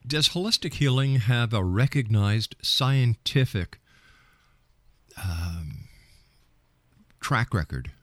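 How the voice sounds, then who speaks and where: A man's voice: medium pitch, lively intonation, takes it slowly, pauses often, a bit bright, dry recording. A man hosting a radio interview, putting probing, challenging questions to a guest in a conversational way.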